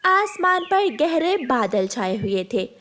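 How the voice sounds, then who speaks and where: A woman's voice: high-pitched, sing-song, slow pace, very noisy. A woman narrating a scripted children's story.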